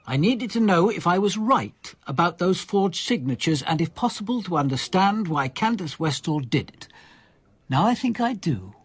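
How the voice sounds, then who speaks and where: An actor playing a composed, courteous police commander in a radio drama, with a careful, probing way of speaking. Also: male voice, high-pitched, big pitch swings, normal speed, breathy edge, full character voice. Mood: firm, reflective.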